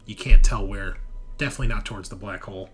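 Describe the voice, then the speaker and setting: A man with a fairly low, forceful voice narrates a tabletop horror game as its game master. His delivery is dramatic and suspenseful, with faint music playing behind him. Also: quick pace. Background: background music.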